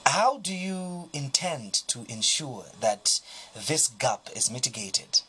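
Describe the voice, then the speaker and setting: Husky-voiced male TV host. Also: medium pitch, very animated, slow pace, bright, forceful tone. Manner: probing, conversational, repeats words. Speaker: TV show host. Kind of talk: TV interview questions.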